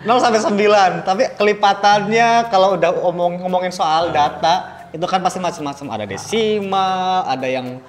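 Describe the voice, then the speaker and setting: Pembawa acara pria bersuara agak tinggi, jenaka. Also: male voice, sits high, moderate pace, long reverb tail. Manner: playful, animated, joking, slangy. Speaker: podcast host. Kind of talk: casual chat interview.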